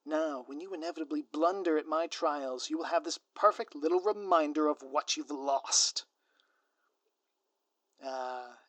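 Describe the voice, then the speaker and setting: Smug scheming supervillain spouse someone acting it out, grand sardonic talk, medium pitch, super dry close recording, audio roleplay. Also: a bit bright, slightly rough, no echo. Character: theatrical gloating ex-supervillain spouse, smug, scheming, playfully flustered. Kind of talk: scripted audio roleplay monologue addressed to listener.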